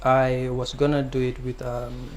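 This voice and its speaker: A young man from the Gambia, a singer-songwriter, answering interview questions softly and hesitantly, with many pauses and ums. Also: mid-range voice, monotone, a little hiss or hum.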